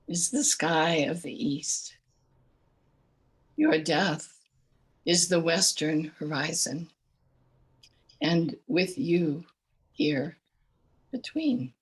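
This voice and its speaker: A woman with a raspy voice reading a poem aloud slowly and softly over a video call. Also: low-pitched, rough recording. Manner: soft, slow, deliberate pauses. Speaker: poetry reader.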